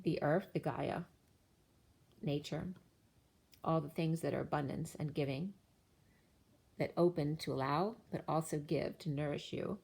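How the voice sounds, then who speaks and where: Low-voiced woman giving a tarot reading. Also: measured pace, gravelly, long pauses, a little mellow, husky. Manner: flowing, incantatory listing of cards and numbers. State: losing her voice. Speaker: tarot reader.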